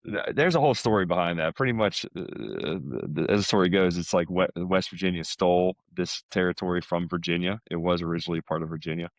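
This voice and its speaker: A man's voice: low voice, fast, few pauses, no room sound, clean studio sound. A middle-aged American man chatting casually, telling stories in a rambling way. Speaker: podcast guest, salesman. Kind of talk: casual chat.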